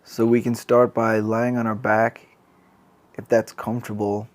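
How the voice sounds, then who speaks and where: A man guiding a body scan meditation as a mindfulness teacher, calm and gentle, unhurried, leaving long pauses. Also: on the low side.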